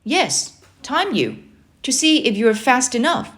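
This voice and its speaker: A woman's voice: high voice, sing-song, slow, a bit bright, voice pushed up, heavily acted. Lady reading a tough uncle in a kids book, curt, bossy, always pushing for more. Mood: brisk, challenging. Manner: curt, commanding, challenging. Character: stern, demanding uncle, a tough military man. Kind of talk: children's storybook character reading.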